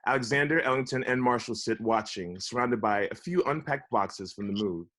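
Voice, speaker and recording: A man's voice: mid-range voice, normal speed, a bit bright. A man with a mid-range voice reads scripted stage directions. He serves as narrator of a table read. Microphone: video call.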